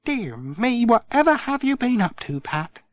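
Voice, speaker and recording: A storyteller voicing a kindly, motherly farmer's wife who talks briskly and caringly. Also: medium pitch, very animated, dry recording, voice pushed up.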